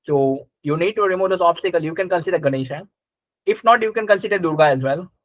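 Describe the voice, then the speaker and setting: A man with a fairly high voice teaches a live class in a conversational way. He explains step by step and often checks with short questions, recorded close and dry. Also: fast, no room sound. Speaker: astrology teacher. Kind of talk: live interactive class, explaining and quizzing students.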